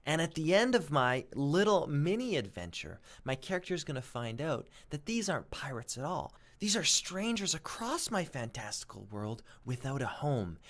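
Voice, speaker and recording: A man reading aloud as a teacher, expressive and dramatic, with emphatic pauses. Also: on the high side. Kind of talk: expressive storybook read-aloud and lesson.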